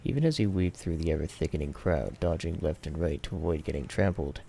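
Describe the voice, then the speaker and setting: A man's voice: extremely low pitch, a little fast, vocal fry, mellow, slight background noise. A man giving a serious, dramatic reading of fiction as an audiobook narrator.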